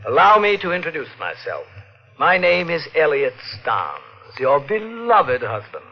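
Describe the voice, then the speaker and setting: On a muffled old radio recording, a sly, mocking husband with villainous poise. He is suave and sardonic, placing his words deliberately with lively turns of pitch. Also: full character voice. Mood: smugly menacing. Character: sly, mocking husband returned from presumed death. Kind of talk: 1940s radio mystery acting.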